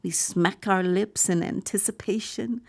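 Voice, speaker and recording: Breathy, hushed horror storyteller. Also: female voice, medium pitch, steady tone. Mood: hushed anticipation. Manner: deliberate, breathy delivery slipping into whispers. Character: dog-loving visitor narrating in a collective "we", naive, turning horrified. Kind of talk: dramatic first-person horror story narration for audio drama. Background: music score.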